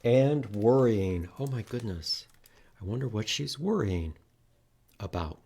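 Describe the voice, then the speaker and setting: Animated, slow-paced man reading storytime. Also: fairly low pitch, breathy edge. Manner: expressive, animated, asks listeners to join in. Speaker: children's storytime reader at a library. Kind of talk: read-aloud storytime with singing and prompts to children.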